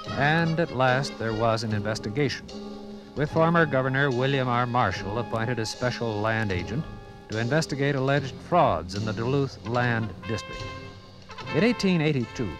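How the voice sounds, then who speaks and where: A man narrating a 1950s radio documentary in a formal, measured announcer's delivery. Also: medium pitch, low-quality recording. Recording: vintage radio broadcast with music.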